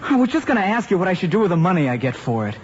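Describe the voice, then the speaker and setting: An actor playing an earnest, aggrieved eighteen-year-old American son in a radio drama, talking in plain slang. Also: male voice, high voice, very animated, racing. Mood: protesting. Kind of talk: mid-century American radio drama acting. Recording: muffled, noisy old radio recording.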